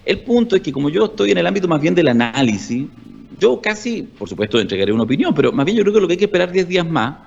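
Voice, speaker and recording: A man's voice: fairly high pitch, sing-song, fast, gravelly, darkish tone, dull audio. A man, a communications consultant on a political panel, speaking analytically and wryly. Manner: analytical, wry, mock-quoting others.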